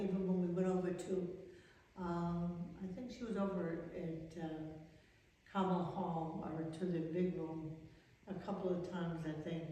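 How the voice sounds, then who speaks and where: An elderly woman in her eighties with a Maine accent, a religious sister reminiscing in an interview in a halting, rambling way. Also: fairly low pitch, warm tone. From Maine, United States. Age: 80s. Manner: halting, rambling recollection with trailing sentences. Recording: echoey reverberant room, distant mic.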